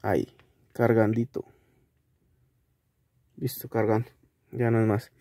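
A young Colombian man giving a casual, halting vlog review in an informal way, recorded inside a car. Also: on the low side, little pitch movement, mellow. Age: young adult. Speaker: video host reviewing a gadget. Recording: noisy car-cabin recording with clicks.

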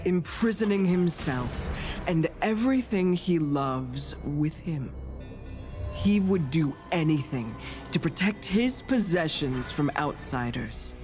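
A brooding female storyteller in a fantasy video game. She narrates theatrically and slowly, with grave drama and dry, playful asides. Music plays clearly behind her. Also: low-pitched. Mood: brooding, dramatic. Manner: slow, dramatic, hushed in places, with deadpan asides.

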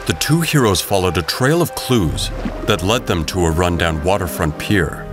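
Low-pitched man narrating a dramatic story. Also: animated, normal speed. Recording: loud music bed. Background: loud music.

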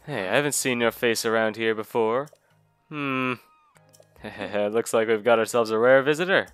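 A man's voice: medium pitch, moderate pace, a bit bright, smooth, faint music behind, close to an everyday voice. A nasal-sounding man with a cold, reading the lines of a guarded, suspicious female shopkeeper. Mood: sly. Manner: knowing, guarded. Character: wary, sly female shopkeeper.

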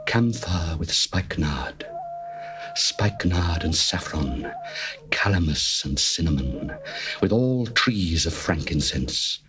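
An actor playing an adoring young bridegroom, reading scripture in a slow, measured, reverent way over faint music. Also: male voice, deep voice, expressive. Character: adoring male bridegroom, young adult, tender and devoted.

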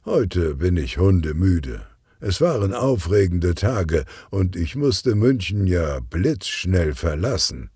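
A man's voice: low voice, very animated, slow, mellow, husky, no room sound, clean studio sound, exaggerated voice. A man voicing a smug, travel-weary gangster boss talking business in an audiobook crime story. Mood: self-satisfied, tired. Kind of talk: audiobook character dialogue.

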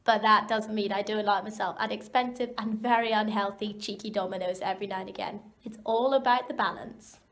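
A woman's voice: mid-range voice, fairly even intonation, brisk, few pauses, fairly bright tone. Chatty young Scottish woman, echoey kitchen. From Scotland. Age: young adult. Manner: chatty, upbeat, talks straight to camera. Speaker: student vlogger. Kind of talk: casual cooking vlog. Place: small kitchen, indoors. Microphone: camera mic, handheld and propped up. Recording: echoey kitchen with faint background noise.

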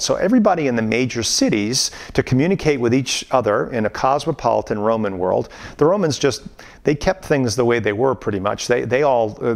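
Middle-aged guy teaching a course from home, gravelly voice, earnest, keeps restarting sentences. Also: mid-range voice. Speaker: theology lecturer. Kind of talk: recorded course lecture. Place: home room indoors. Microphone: camera framed at chest, facing viewer.

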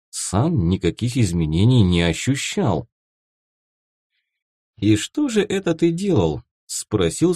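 Lively, low-voiced male audiobook narrator. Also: no echo, studio quality. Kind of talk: scripted first-person fiction narration.

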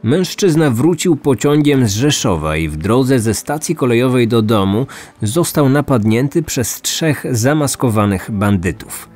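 Male true-crime narrator, mid-pitched. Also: expressive, measured pace, darkish tone, soft background music. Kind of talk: scripted storytelling narration.

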